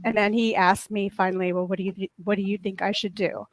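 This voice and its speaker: A woman on a casual panel chat with a soft voice that turns crackly and raw, telling a long, earnest, indignant story. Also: mid-range voice, little pitch movement, fast, dry recording.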